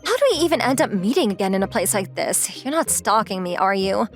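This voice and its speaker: An actress playing a petite, haughty young interviewer with a childlike cuteness and a huffy, scolding manner in a manga dub. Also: female voice, medium pitch, big pitch swings, a little fast. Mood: exasperated. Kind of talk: scripted manga dub dialogue. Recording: prominent jingly music behind the voice.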